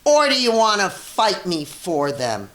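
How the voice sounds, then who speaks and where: A woman reading a children's storybook, voicing a grouchy, bossy little ladybug who shouts and makes demands. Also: medium pitch, big pitch swings, unhurried. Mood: blustering. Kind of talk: children's storybook read-aloud.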